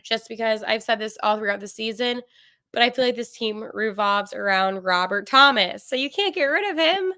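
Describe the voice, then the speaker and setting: A young woman hosts a sports podcast from home with a bright, crisp voice. She talks in a loose, animated way, full of fillers and quick corrections. The sound is close and dry. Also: a little high, no room sound. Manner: chatty, animated, many fillers and self-corrections. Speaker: podcast host. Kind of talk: casual sports podcast monologue.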